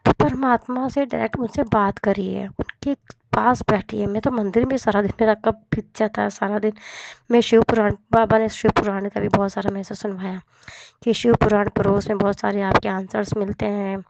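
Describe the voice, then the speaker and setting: A woman with a hoarse, cold-roughened voice, earnestly sharing a devotional testimony and repeating her thanks. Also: medium pitch, steady tone, normal speed, darkish tone, no echo, rough recording.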